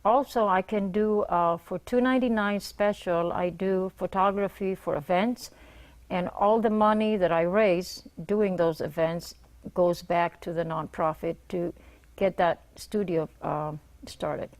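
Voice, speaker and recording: A woman's voice: on the low side, fairly even intonation, a little slow. A middle-aged woman, a guest on a morning TV show, speaking earnestly in short plain bursts. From Israel. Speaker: guest, nonprofit founder. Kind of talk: morning TV interview. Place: TV studio.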